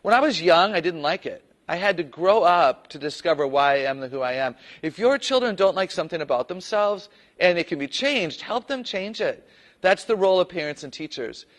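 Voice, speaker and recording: A woman with a low voice, a public speaker telling a personal story in an animated, playful way. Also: moderate pace. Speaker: guest speaker. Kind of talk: personal story told to camera.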